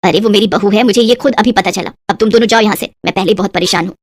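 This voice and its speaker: A woman's voice: medium pitch, fast talker, no room sound, clean studio sound, natural voice. A woman voicing an exasperated middle-aged mother-in-law in a story, scolding and lamenting dramatically.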